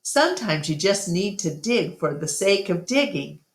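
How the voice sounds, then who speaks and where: A woman's voice: lowish voice, sing-song, normal speed, pitched above the performer's own voice. A woman voicing a cynical, grumbling male animal sidekick with a wry, emphatic manner. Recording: roomy, echoing room sound.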